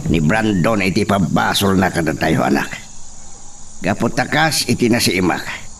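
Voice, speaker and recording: Gravel-edged Ilocano father, played by a man, lively delivery. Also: low voice, normal speed, heavily acted. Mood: troubled. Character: wounded, worried father, a farm labourer. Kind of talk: radio drama acting. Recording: distant mic, faint noise with insect sounds.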